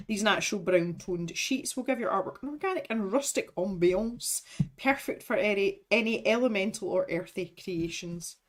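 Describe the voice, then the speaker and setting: A woman's voice: mid-range voice, moderate pace. A woman in her thirties, chatting casually on a livestream, rambling and laughing as she reads out product blurbs. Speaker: art supplies streamer. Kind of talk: casual unboxing livestream chat.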